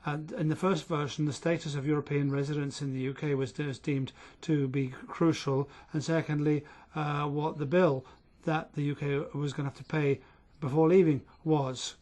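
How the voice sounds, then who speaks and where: A man interpreting at a parliament committee hearing, speaking in a steady, measured way with an uneven pace. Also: fairly high pitch.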